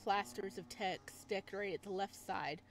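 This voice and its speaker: A woman's voice: medium pitch, fairly even intonation, unhurried, faint music behind. A woman doing a visual novel let's play, reading text aloud and breaking off for chatty, casual asides. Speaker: gaming video host.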